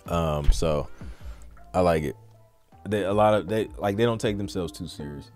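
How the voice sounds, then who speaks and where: A man's voice: low-pitched, moderate pace, a little mellow. A man hosting a live question-and-answer podcast as a music producer, talking in an informal, slangy, rambling conversational way. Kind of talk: casual live Q&A chat.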